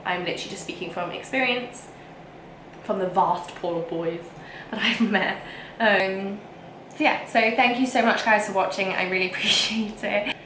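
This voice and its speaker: A woman's voice: mid-range voice, normal speed, a bit bright, echoey room, a little hiss or hum, poor audio. A young woman with a whiny voice, ranting frankly to camera in a casual vlog. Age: young adult. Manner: emphatic and frank, with frequent fillers. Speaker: vlogger.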